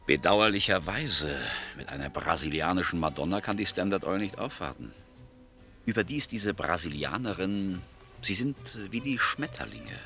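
A smooth, menacing American oil boss in a radio drama. His deep voice swoops expressively and has a crisp, forceful edge. He lingers on words with polished mock politeness. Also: sing-song, full character voice. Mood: oily, insinuating. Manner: urbane, mock-polite, hesitant drawl with pointed pauses. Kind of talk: German radio play acting. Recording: studio radio play with background music.

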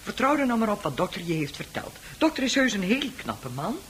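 A woman's voice: mid-range voice, very animated, a little fast, natural voice. A woman playing a soothing, practical, motherly nurse in a radio drama. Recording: faintly noisy old radio recording.

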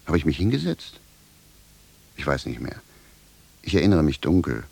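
Deep-voiced, stubborn village cobbler. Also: male voice, big pitch swings, normal speed, vocal fry, darkish tone, slightly rough, dry recording, exaggerated voice. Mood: puzzled. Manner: blunt, aggrieved, simple. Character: plain-spoken, bewildered, stubborn village cobbler, grumbling indignantly. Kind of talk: theatrical radio drama dialogue.

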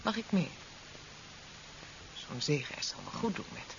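Devoted, stubborn, very expressive wife. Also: female voice, low voice, measured pace, vocal fry, full character voice. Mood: pleading. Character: devoted, stubborn wife, evasive about her frailty. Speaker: radio drama actor. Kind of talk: 1970s Dutch radio drama acting. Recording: dull, hissy 1970s radio recording.

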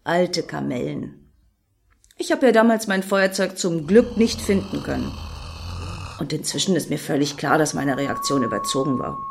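An actress in a radio play voicing an excitable, obsessive female fan who muses dreamily and justifies herself as she rambles. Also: deep voice, normal speed, creaky, slight background noise. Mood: self-justifying.

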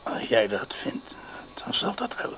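An actor playing a frail, bedridden old father in a radio play, breathy and halting, weary and gently resigned. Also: mid-range voice, wildly swooping pitch, full character voice, low-quality recording. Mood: tired, resigned. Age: older. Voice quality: breathy, whispery, creaky.

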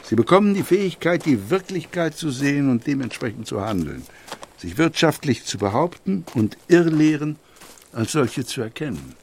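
Man playing principled old-time industrialist, mid-pitched, deliberate, didactic, audio documentary quotation, faint noise with clicks. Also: sing-song, a little mellow. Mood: earnest, instructive. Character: principled, plain-spoken industrialist of the early twentieth century.

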